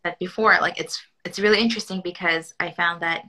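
A woman from Argentina co-hosting a casual podcast chat, speaking thoughtfully with pauses. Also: lowish voice, steady tone, pushed and bright. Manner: thoughtful, reflective, hesitant. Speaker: podcast co-host, psychotherapist.

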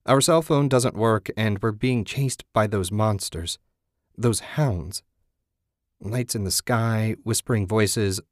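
A man's voice: fairly low pitch, takes it slowly, no room sound, studio quality. A man voicing an uneasy young wife in a horror audiobook, earnest and questioning. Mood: anxious, puzzled.

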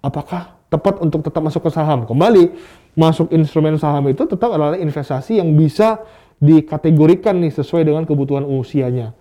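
A man's voice: a little high, a little fast, dark tone. A man, speaking as a guest expert in a casual interview, explaining through rhetorical questions and examples. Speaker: guest expert on stock market investing.

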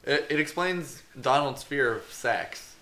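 A man's voice: mid-range voice, a little slow, pushed and bright, reverberant. A man chatting casually as a co-host on a podcast. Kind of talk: casual group chat.

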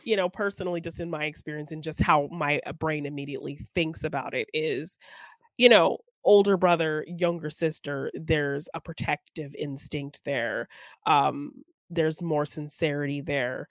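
A woman's voice: deep voice, moderate pace, dry recording. A woman co-hosting a podcast, discussing film casually and reflectively, often thinking aloud as she goes. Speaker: podcast co-host.

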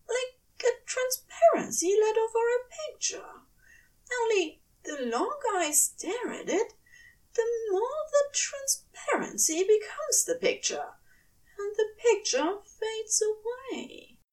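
A woman's voice: extremely high pitch, sing-song, slow pace, darkish tone, far from the mic, voice pushed up, exaggerated voice, rough recording. A woman voicing a male angel in audio fiction, wounded, aghast and demanding in an emphatic way. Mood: dazed, tearful. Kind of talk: audio fiction character acting.

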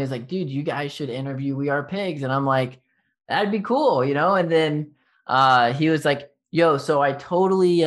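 A man's voice: on the high side, fairly bright tone. Laid-back Texan man hosting a chat. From Texas. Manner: laid-back, rambling with fillers and slang. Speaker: podcast host. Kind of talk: casual interview chat.

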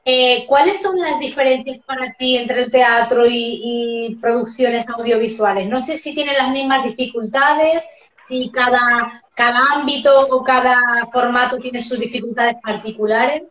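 A woman's voice: fairly high pitch, unhurried, a bit bright, slight background noise. A woman interviewing a guest in a casual, friendly way, with hesitant restarts as she asks her questions. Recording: roomy and dull sound.

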